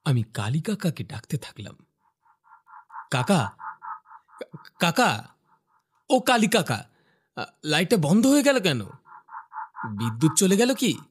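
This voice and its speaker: A man's voice: a little high, very animated, slow, long pauses, soft background music. A man voicing a curious young office worker who narrates his own ghost story in a cartoon, with acted dialogue. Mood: uneasy, questioning. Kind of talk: scripted first-person narration with acted dialogue.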